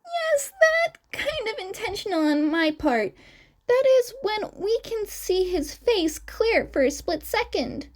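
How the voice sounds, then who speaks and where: Casting: earnest young twin boy played by a woman, very high and animated, unhurried, audio story dialogue. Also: exaggerated voice. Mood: explaining. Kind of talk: acted dialogue in fan fiction audio.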